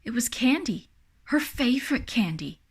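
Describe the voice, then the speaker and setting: A woman narrating a children's picture book in an expressive read-aloud style. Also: a little high, normal speed, slightly rough. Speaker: storybook reader.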